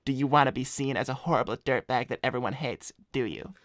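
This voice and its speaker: A laid-back scumbag hedgehog played by a man with a mid-pitched voice. He talks in slangy, deadpan, self-assured livestream chat. The recording is close and dry. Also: quick pace, dry recording, close to an everyday voice. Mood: reading aloud. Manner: slangy, swearing, deadpan bragging. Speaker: cartoon character co-hosting an advice livestream. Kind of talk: improvised in-character chat, reading donor messages.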